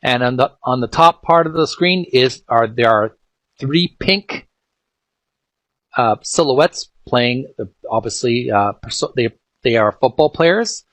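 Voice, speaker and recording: A man with a mid-pitched voice speaks as an informal channel host, reading aloud and commenting as he goes. His phrasing is halting and piecemeal, with words often repeated. The recording is dry, with very little room sound. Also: expressive, fairly bright tone, no echo. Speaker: video game channel host. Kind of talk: informal read-aloud and commentary on retro game art.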